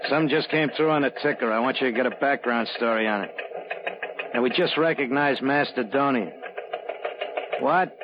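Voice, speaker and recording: An actor playing a brusque, impatient, dismissive newsroom editor in 1950s radio drama style. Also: male voice, medium pitch, moderate pace, long pauses, natural voice. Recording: noisy, dull 1950s radio recording.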